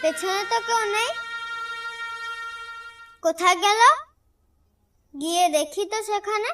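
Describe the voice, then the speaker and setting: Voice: extremely high pitch, slow, sharp tone, far from the mic, soft background music, heavily acted. A performer voicing a curious, stubborn and anxious little village boy in a cartoon, full of insistent questions. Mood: frightened. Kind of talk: cartoon story dialogue.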